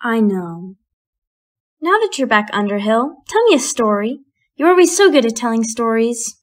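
A woman playing a shapeshifting fairy in the guise of a sad girl, gently needling in an audio drama. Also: fairly high pitch, sing-song, moderate pace. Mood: wistful, needling. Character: shapeshifting fairy wearing a sad girl's face, slyly tender.

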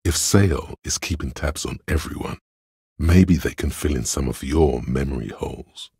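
A very deep, gravelly voice of logic. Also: male voice, warm tone, no room sound, studio quality, heavily acted. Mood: probing, deductive. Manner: measured, deliberate, dryly analytical. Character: cool, analytical inner voice of Logic, dry and superior. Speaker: video game voice actor. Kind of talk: dramatic video game narration.

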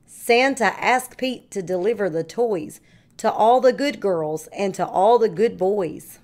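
A woman reading a rhyming picture book aloud to young children like a kindergarten teacher, with measured, deliberate phrasing. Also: mid-range voice, expressive, a little mellow.

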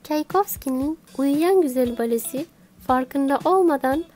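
A woman's voice: high voice, measured pace, darkish tone. A woman voicing a schoolgirl pupil who shares facts with a classmate in a scripted educational cartoon. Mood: informative.

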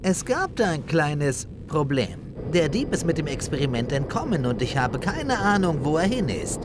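An actor voicing a young, plucky male alien hero in a video game cutscene, brisk and casual. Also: on the high side, very animated, background noise. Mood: dutiful.

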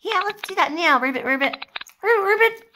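A woman voicing a tiny, excitable, hungry frog in improvised toy play, squeaky and playful with ribbits. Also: fairly quick, background noise. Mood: eager, playful.

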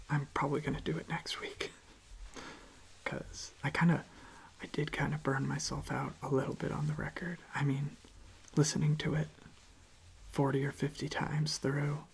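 Whispering young man, soft ASMR. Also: on the high side, gravelly, husky. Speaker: ASMR video host.

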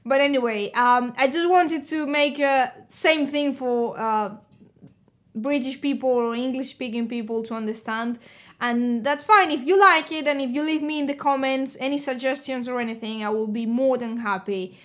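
Spanish-accented woman in her twenties, high voice, chatty vlogger talking to camera at home. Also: moderate pace. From Spain. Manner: chatty, animated, many fillers and restarts, hand gestures. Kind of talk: casual talk to camera. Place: living room indoors.

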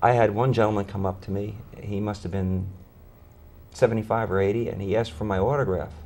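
Middle aged guy, low voice, musician chatting in a studio interview. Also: steady tone, a little fast, a little mellow, slight background noise. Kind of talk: conversational interview answers. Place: small TV studio, indoors. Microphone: lapel mic.

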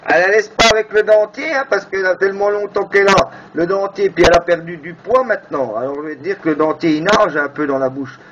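A man's voice: sits high, fast, few pauses, fairly bright tone. A man bantering casually on amateur radio, teasing and crude. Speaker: amateur radio operator, video maker. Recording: muffled, very noisy FM radio chat.

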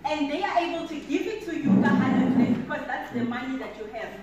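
High-voiced young South African woman in an echoey hall. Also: expressive, brisk, muffled recording. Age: 20s. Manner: emphatic, preacherly repetition; walks about the floor, gesturing as she speaks. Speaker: motivational speaker. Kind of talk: keynote speech to a conference room. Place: large conference hall, indoors. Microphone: handheld mic, heard from a distant camera. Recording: echoey hall audio from far off with background noise.

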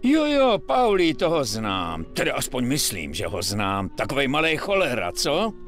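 A man's voice: medium pitch, wildly swooping pitch, a bit bright, soft background music. A man voicing a chatty, folksy older gun dealer with a rambling, familiar manner in a Czech game dub. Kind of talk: Czech video game dubbing.

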